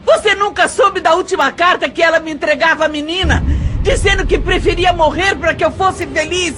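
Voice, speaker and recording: A woman's voice: extremely high pitch, brisk, fairly bright tone, faint music behind. A Brazilian woman playing an anguished, accusing middle-aged wife in an audio drama, theatrical and emphatic. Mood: furious, accusing. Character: outraged, anguished middle-aged wife confronting her husband.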